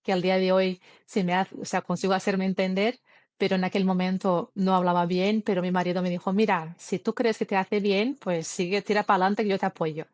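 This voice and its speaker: A woman in her thirties talks through her story in an interview, earnest and a little halting as she restarts phrases in a language that isn't her first. Her pitch sits in the middle range, and the recording is dry, with no room echo. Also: steady tone, darkish tone. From Brazil. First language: Portuguese. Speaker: guest. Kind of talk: conversational interview, personal story.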